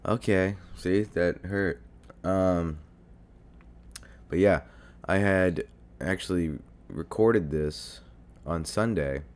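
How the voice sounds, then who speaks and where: A man's voice: slow pace, a little mellow. A young man hosting his own podcast while sick and congested, talking in a rambling, casual way with lots of fillers and swearing, into a desk mic at home. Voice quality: deep hoarse voice. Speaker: podcast host. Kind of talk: casual solo podcast monologue. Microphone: desk mic near a computer.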